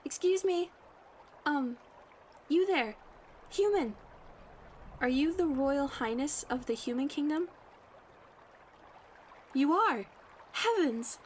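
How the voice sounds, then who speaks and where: A woman's voice: high-pitched, lively intonation, slow pace, long pauses, slight background noise. A woman playing a polite, flustered stranger in a fantasy roleplay, speaking formally over the sound of a running stream. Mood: polite, astonished.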